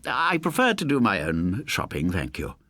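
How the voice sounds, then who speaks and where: A man puts on a broad, sinister lodger for an audiobook thriller. He is husky and stiffly formal, clipped and guarded, with pitch that swings widely. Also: wildly swooping pitch, normal speed, sharp tone, no echo, exaggerated voice. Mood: curt, loud. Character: squat, broad, sinister male lodger, curt and overly formal.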